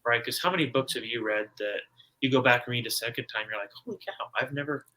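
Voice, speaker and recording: A man's voice: mid-range voice, fast, pushed and bright. An American man talking casually as an interview guest, making his points in an emphatic, repetitive, colloquial way. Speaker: guest author. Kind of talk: casual conversational explaining.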